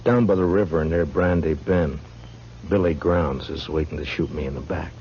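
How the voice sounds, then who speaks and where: An actor playing a grim, laconic frontier marshal in a 1950s radio western, speaking in a dry, deliberate way on an old recording. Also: male voice, a bass voice, darkish tone, muffled recording, slight background noise. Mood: pointed, grave.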